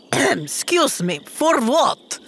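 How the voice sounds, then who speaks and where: Woman playing a slow-spoken, wary tall adventuress. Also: sits high, sing-song, fairly bright tone, slight background noise, full character voice. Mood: affronted.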